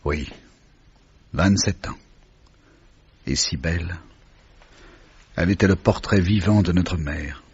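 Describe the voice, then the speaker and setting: A man playing a bereaved, shaken brother in a French radio drama, with a sombre, husky delivery. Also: low-pitched, slow, long pauses, heavily acted. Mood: grieving, subdued. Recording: dull lo-fi radio recording.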